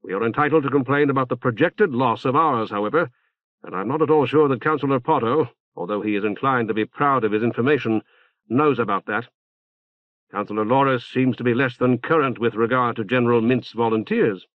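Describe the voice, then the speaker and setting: A calm, articulate leader arguing formally in an audiobook novel, voiced by a male reader. He speaks in a reasoned, orderly and firm way. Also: mid-range voice, brisk, dry recording, phone-quality sound, lightly acted.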